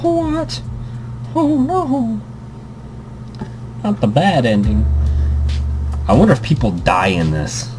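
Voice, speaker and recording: A man's voice: on the high side, sing-song, takes it slowly, pauses often, noisy background. A young man hosting a let's play, reading a visual novel aloud with joking asides and fillers.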